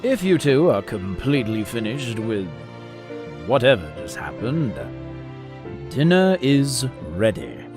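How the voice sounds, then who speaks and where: Dry, formal manservant type male actor, unhurried, expressive low voice, music underneath. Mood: exasperated. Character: formal, dry, long-suffering male royal retainer. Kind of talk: audio drama acting.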